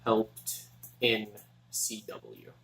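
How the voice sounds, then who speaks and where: A young man from Texas, a student, mutters to himself in a halting, casual way as he works through his notes. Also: lowish voice, sing-song, gravelly, fairly bright tone. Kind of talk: casual study vlog, thinking aloud.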